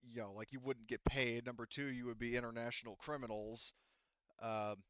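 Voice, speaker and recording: A man's voice: mid-range voice, flat delivery, moderate pace, a bit bright, clear, resonant tone, no room sound, lo-fi sound. A man running a tabletop roleplaying game, rambling along with lots of fillers and restarts. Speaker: game master.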